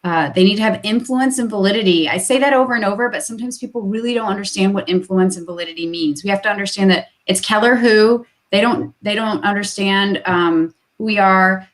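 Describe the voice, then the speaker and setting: A woman talking business as a guest on a video call, upbeat and full of fillers, repeating her phrases for emphasis. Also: mid-range voice.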